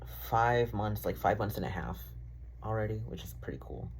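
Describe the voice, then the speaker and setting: A man's voice: on the low side, expressive, normal speed, creaky, a little hiss or hum, poor audio. A young man rambling casually in a diary-style vlog, full of fillers, swearing and self-deprecating asides. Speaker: vlogger.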